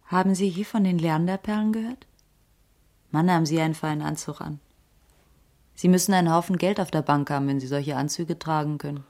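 Low, gravelly, world-weary streetwise woman. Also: a little fast, a little mellow. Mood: probing. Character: careworn, streetwise female ex-cop with a tip. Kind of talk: radio drama dialogue, hard-boiled crime.

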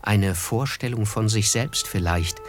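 An actor playing a reflective, observant young gentleman narrating a literary German radio drama, with music behind him. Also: fairly low pitch, measured pace, close to an everyday voice.

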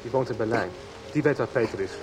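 A man's voice: medium pitch, quick pace, over music, lightly acted. An actor playing an evasive, world-weary middle-aged father in a radio soap, terse and hesitant, with rain behind him. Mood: urgent, decisive. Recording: noisy rainy background.

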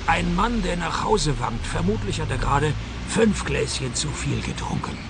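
An actor playing a wry, sardonic private detective in a radio play, with a dry, deadpan, mocking delivery. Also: male voice, fairly high pitch, normal speed, background noise. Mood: dry teasing. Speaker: lead actor and narrator. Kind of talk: radio detective play, scripted narration and dialogue.

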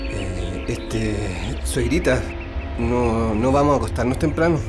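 A man's voice: mid-range voice, slow, over music, lightly acted. An actor playing a considerate young Chilean man, a son-in-law who talks carefully and hesitantly in a radio drama. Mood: careful, reassuring. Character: considerate son-in-law gently breaking bad news.

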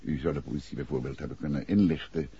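An actor playing a calm, probing amateur detective in a 1960s Dutch radio play, with polite, measured questioning. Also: male voice, rumbling low, breathy edge, exaggerated voice. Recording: muffled vintage 1960s radio recording.

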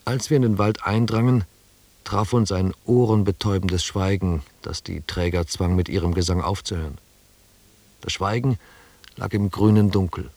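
Low-voiced, sober expedition narrator, dry sound. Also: male voice, fairly even intonation, creaky, darkish tone, dry recording. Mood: sober, descriptive. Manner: measured, descriptive. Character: sober expedition member recounting the journey. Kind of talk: scripted literary narration in German radio drama.

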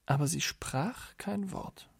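Guy narrating a literary radio drama, slightly high gravelly voice, music playing behind. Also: slow.

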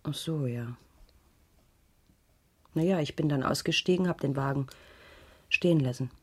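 Worried schoolteacher, lowish husky voice, hesitant, earnest, defensive, radio crime drama. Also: female voice, unhurried, darkish tone, heavily acted. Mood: hesitant recounting. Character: worried, defensive female schoolteacher giving testimony.

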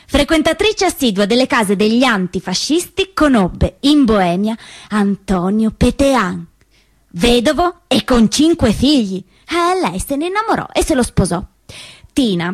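High-pitched woman telling a stage story. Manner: expressive, deliberate storytelling. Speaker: solo stage actress and storyteller. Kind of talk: theatrical scripted narration. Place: theatre stage performance.

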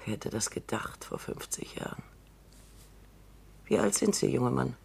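A woman in her seventies playing a shrewd retiring robot psychologist in a radio drama, measured and reflective, sometimes dropping to near whispers. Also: very deep voice, sing-song, gravelly, a little mellow, husky, exaggerated voice. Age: 70s. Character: shrewd elderly female robot scientist, dryly wry.

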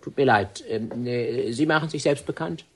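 An actor playing a fussy, apologetic, officious prison warder in a radio drama, speaking haltingly. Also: male voice, mid-range voice, fairly bright tone, slight background noise, natural voice. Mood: flustered. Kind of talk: German radio drama acting.

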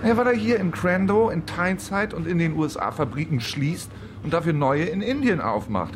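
A man's voice: a little high, expressive, moderate pace, natural voice. An actor playing a sardonic, grumbling factory worker among his workmates in a radio play. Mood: sardonic, indignant. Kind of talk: radio drama acting. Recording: noisy ambience behind.

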